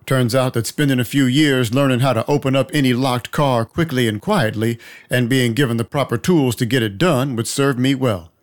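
A man acting a dry, deadpan vampire detective. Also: mid-range voice, moderate pace, hardly any pauses, close to an everyday voice. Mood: wry, matter-of-fact. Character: weary, wry male vampire detective narrating his night. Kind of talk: first-person noir scripted narration.

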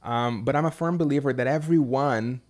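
A man from Brazil with a Brazilian accent, an English teacher chatting as a podcast guest and asking curious questions with many pauses and "um"s. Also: medium pitch. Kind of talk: casual conversation.

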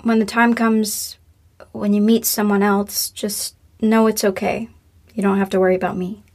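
A woman's voice: medium pitch, level tone, normal speed, a little mellow, natural voice. A woman playing a grieving young woman in an audio drama, apologetic and wryly hopeful, speaking casually and sincerely. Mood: gentle, reflective. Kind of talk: naturalistic audio drama acting.

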